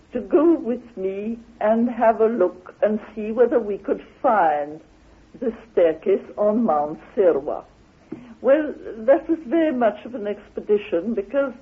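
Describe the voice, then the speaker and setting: A woman tells of an expedition at a slow, halting pace, leaning on her words. Her high voice has a husky grain. It comes through a thin, band-limited old radio recording. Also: phone-quality sound. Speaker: explorer and theorist recounting her expedition. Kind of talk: spoken anecdotal account of an expedition.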